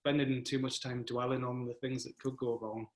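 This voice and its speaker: Flat-voiced man in an echoey room. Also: mid-range voice, normal speed. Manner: relaxed, hesitant, with fillers. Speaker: co-host. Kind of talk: casual conversation.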